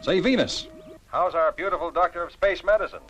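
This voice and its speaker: An actor playing a breezy heroic space pilot in a 1960s puppet series. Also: male voice, high-pitched, a little fast, a bit bright, faint music behind.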